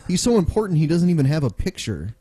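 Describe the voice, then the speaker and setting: A man's voice: fairly high pitch, big pitch swings, fast talker, crowd noise. A young man hosting a casual podcast, chatting sarcastically about online videos and commenters. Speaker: podcast host.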